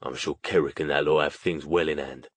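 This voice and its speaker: A man's voice: rumbling low, fairly even intonation, brisk, husky, dry recording, voice pushed down. A man voicing a small, wiry, chummy Cockney old friend in an audiobook, with matey, teasing talk. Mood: rueful. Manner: matey, colloquial.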